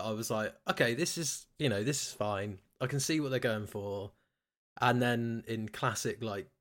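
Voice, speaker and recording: A man's voice: fairly low pitch, a bit bright. A man chatting casually about films, jokey and sweary, telling it with quoted asides. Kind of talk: casual film chat.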